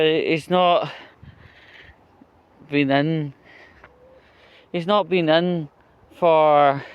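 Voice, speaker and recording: A man's voice: a little high, clear, resonant tone, muffled recording. A Scottish man giving a rambling, conversational political monologue as a vlogger, blunt, sweary and opinionated, often checking in with tag questions.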